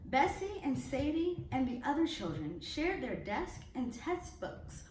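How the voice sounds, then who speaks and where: A woman reading a children's picture book aloud in an expressive storytelling way. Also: sits high, unhurried. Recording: echoey room, distant mic, faint noise.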